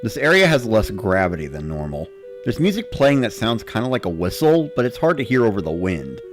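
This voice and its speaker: A man's voice: on the low side, big pitch swings, brisk, music in the background. A man reading a first-person story as a dramatic narrator, in the style of a gamer recounting play.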